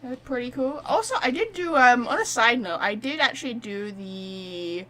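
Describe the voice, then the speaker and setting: A woman chatting casually and excitably as she livestreams a game, rambling to her viewers. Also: fairly high pitch, normal speed, rough recording. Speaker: live streamer. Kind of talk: casual game stream chat.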